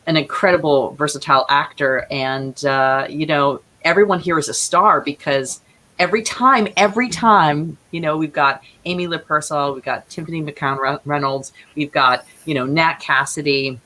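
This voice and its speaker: A woman chatting casually as an interview guest, enthusiastic and halting as she feels out her phrases. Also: sits low, bright, forceful tone. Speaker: guest playwright.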